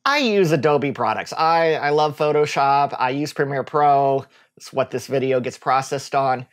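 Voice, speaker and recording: A middle-aged man, a tech YouTuber, giving an enthusiastic casual rundown to camera from his home office, gesturing with both hands as he talks. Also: a little high.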